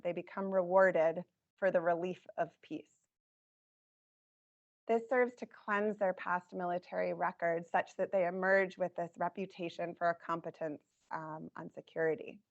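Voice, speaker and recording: A woman with a lowish, scratchy voice walks listeners through her research in a measured, explanatory way, pausing on frequent ums. The recording sounds dull and lo-fi. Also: fairly even intonation. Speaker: academic speaker presenting research. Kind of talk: academic talk.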